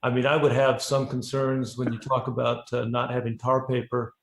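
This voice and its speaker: Male commission member, gravelly mid-range voice, measured and hesitant, roomy echoey meeting sound. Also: mid-range voice, flat delivery, slight background noise. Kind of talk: informal meeting discussion. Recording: echoey room on online call.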